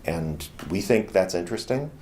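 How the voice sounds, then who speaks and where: A man's voice: low-pitched, lively intonation, moderate pace, darkish tone, a little hiss or hum. A middle-aged American man explaining things thoughtfully and conversationally in a casual promotional interview. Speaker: radio theater founder and organizer.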